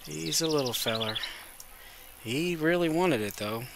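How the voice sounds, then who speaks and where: A man with a cold, sounding congested, giving relaxed, chatty commentary while playing a game and talking to his viewers. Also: a little high, a little slow, gravelly, background noise. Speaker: gaming video host. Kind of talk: casual gameplay commentary.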